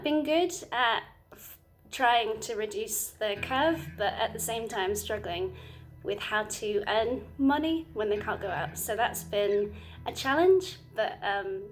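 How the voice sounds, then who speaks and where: Young woman from North Yorkshire. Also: mid-range voice. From England, North Yorkshire. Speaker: short-term mission worker. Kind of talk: recorded personal update.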